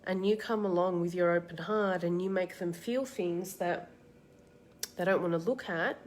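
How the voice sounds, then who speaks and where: A woman with a slight cold and a tickly throat, hosting a live card reading and giving soft, reassuring advice to viewers by name. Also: fairly low pitch, moderate pace, slight background noise. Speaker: card reader and meditation host. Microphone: live-stream setup.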